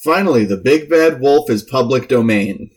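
A man with a mid-pitched voice and very lively, varied intonation. He chats casually as a podcast co-host and tends toward short, wry quips. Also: normal speed. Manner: wry, quippy. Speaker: comics news podcast co-host. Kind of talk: casual chat.

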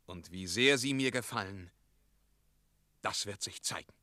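An actor playing a confident, romantic knight in a German radio play, speaking with gallant earnestness. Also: male voice, lowish voice, measured pace, bright, forceful tone, no room sound, studio quality. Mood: tender, wooing. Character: confident, romantic young knight errant hero.

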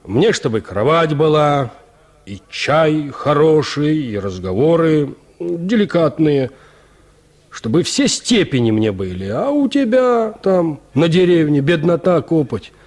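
A man's voice: a little high, big pitch swings, slow, a little mellow. An actor playing an indifferent, spoiled peasant huntsman in a radio drama, with nonchalant, condescending, folksy speech. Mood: dismissive, self-satisfied. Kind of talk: Russian radio drama acting.

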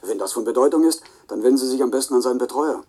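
An actor playing a frank, cooperative colleague in a radio play, answering in a measured, reflective and helpful way. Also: male voice, medium pitch, mellow, husky, lightly acted. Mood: thoughtful, helpful.

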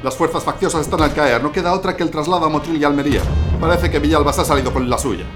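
A man's voice: fairly high pitch, very fast, natural voice. An actor playing a curt, commanding male lieutenant giving urgent orders in a radio drama. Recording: music bed behind.

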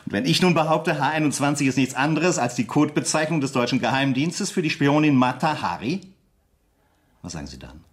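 An actor playing a suave, sardonic French army interrogation officer in a German radio drama, politely ironic with hesitant pauses. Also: male voice, mid-range voice, animated, natural voice. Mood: probing, sardonic.

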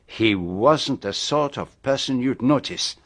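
A confident, formal Belgian detective. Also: male voice, mid-range voice, lively intonation, fairly bright tone. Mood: assured, philosophical. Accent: French-speaking Belgian. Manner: deliberate, formal, theatrical. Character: confident middle-aged Belgian male detective, courteous and commanding. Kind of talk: radio drama acting.

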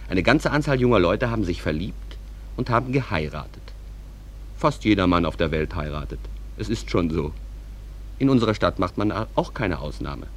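Actor as animated, low-voiced folksy narrator. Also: male voice, slight background noise, close to an everyday voice. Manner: conversational, addresses listeners directly. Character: wry, folksy stage manager narrating town life. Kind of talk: German radio play narration.

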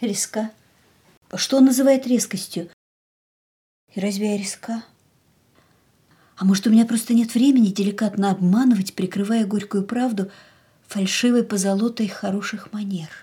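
A woman's voice: mid-range voice, steady tone, moderate pace, pauses often, dark tone, breathy edge, natural voice. An actress playing a frank, intense young woman hungry for life in a radio play, with a dramatic, searching manner. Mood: pensive.

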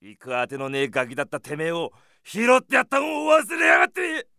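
High-strung, brutish bandit chief. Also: male voice, huge pitch swings, a little fast, no echo, studio quality, full character voice. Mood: enraged. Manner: rough, coarse shouting. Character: brutish, hot-tempered male bandit chief, sneering and menacing. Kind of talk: anime-style audio drama acting.

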